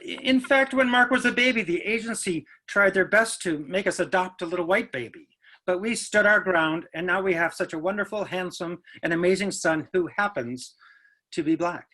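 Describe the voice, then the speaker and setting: A man's voice: high voice, normal speed, sharp tone. A man acting a genial, chatty middle-aged businessman father in a play reading. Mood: earnest.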